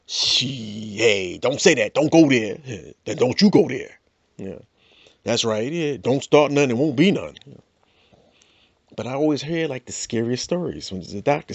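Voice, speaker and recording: A middle-aged man doing a stand-up comedy monologue, telling stories with character voices and a steady "you know.". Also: medium pitch, breathy edge, dry recording, lo-fi sound. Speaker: comedian host.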